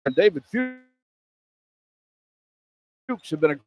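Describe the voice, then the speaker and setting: High-pitched man calling basketball play-by-play. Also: rapid-fire, dull audio. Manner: clipped phrases, animated on big plays. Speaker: radio play-by-play announcer. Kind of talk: live basketball play-by-play commentary. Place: indoor college basketball arena. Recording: live arena broadcast with background noise. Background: arena ambience.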